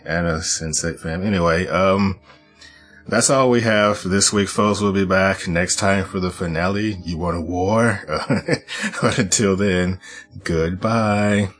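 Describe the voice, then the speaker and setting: A man hosting a podcast in a halting, unhurried way, laughing easily. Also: low-pitched, fairly bright tone, soft background music. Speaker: podcast host. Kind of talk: casual chat.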